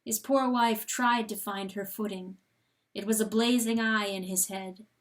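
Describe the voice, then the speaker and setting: A woman giving a dramatic, intense and deliberate storybook narration. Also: mid-range voice, steady tone. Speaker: audiobook narrator.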